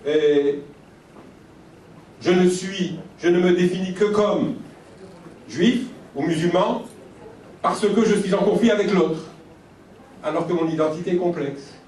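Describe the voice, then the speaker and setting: A man from Algeria giving a reflective, measured lecture at a conference, building his points through pauses and lists. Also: high voice, darkish tone, slight background noise. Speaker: speaker at a conference. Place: conference hall. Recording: echoey hall from far off.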